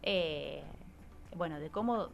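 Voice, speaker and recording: A woman's voice: low voice, slow, creaky. An Argentine woman explaining things conversationally as a psychologist on the radio, often adding short tag questions to seek agreement. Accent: Argentine Spanish. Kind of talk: conversational explanatory interview talk. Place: radio studio.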